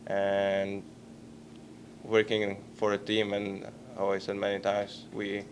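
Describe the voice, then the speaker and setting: A young man in his twenties with a Czech accent, answering postgame questions at a press table microphone, halting and searching for words. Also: fairly low pitch, completely flat delivery, a little hiss or hum. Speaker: hockey player at a postgame press conference. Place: press conference room.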